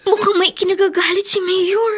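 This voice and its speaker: An actress playing a concerned, watchful household helper in a Filipino radio drama, deferential and worried. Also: female voice, extremely high pitch, level tone, moderate pace, crowd noise. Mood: curious, worried.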